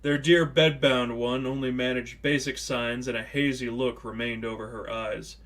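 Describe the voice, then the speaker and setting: A man's voice: mid-range voice, steady tone, moderate pace, fairly bright tone. Man narrating a dramatic story. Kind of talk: scripted audiobook-style narration.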